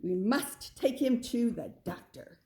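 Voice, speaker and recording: A disapproving storybook papa goat, read by a woman. He is emphatic and grumbly, with slow, deliberate delivery. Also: a little high, animated, mellow. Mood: exasperated. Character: stern, disapproving father goat. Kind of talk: children's picture-book character voice.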